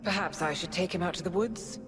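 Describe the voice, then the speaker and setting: A woman playing a mocking, cold female tormentor in a game cutscene, taunting in a sardonic, drawn-out way. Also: lowish voice, music in the background, natural voice. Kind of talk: video game cutscene acting. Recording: reverberant with clear background noise.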